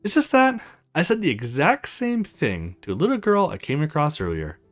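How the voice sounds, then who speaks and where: A man voicing a kind, self-effacing young witch woman in a visual novel game, softly reassuring. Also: fairly high pitch, huge pitch swings, moderate pace, soft background music, pitched above the performer's own voice. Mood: sheepish.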